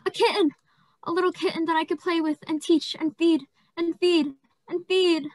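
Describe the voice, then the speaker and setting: A woman's voice: extremely high pitch, fairly even intonation, normal speed, a little mellow, no echo, poor audio. A woman acting a deranged male asylum patient in a radio play, pleading and gleeful, repeating words obsessively. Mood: desperate pleading.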